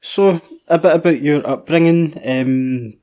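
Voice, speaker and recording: A man in his twenties hosting a podcast, reading a measured intro and then chatting friendly with his guest. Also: fairly high pitch, warm tone. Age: 20s. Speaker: podcast host. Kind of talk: scripted intro then casual interview.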